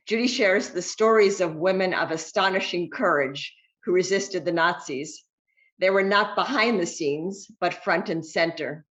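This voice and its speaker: A woman hosting an author interview over a video call, reading a measured, prepared introduction. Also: fairly low pitch, fairly bright tone, lo-fi sound. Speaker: interviewer and host.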